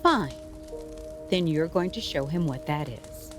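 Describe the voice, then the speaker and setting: A woman's voice: low voice, animated, a little slow, music in the background. An actress playing a demanding, ambitious middle-aged mother in an audio drama, with an insistent, pointed delivery. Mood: frustrated, insistent.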